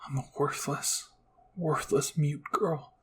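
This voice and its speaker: A man's voice: fairly high pitch, steady tone, slow, slight background noise. A man voicing the inner thoughts of a wounded young girl fighter, anguished and determined, in a dramatic fan fiction. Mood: despairing. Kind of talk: first-person inner monologue, ASMR fan fiction.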